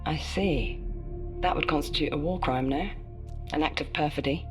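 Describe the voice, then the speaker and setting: A woman's voice: low-pitched, moderate pace, music in the background, close to an everyday voice. An actress playing a composed female interviewer in a video game, asking careful, formally worded questions. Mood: probing. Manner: inquisitive, careful, formal wording.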